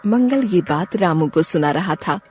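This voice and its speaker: A woman's voice: fairly low pitch, animated, a little slow, darkish tone, soft background music. A woman narrator reading a scripted moral story.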